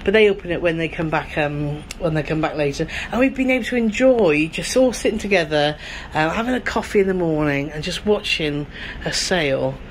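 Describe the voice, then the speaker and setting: A woman's voice: low voice, a little fast, slight background noise. Chatty woman touring a cabin. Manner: chatty, seeks agreement with tag questions. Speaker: travel vlogger co-host. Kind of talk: casual tour chat. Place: cruise ship cabin, indoors.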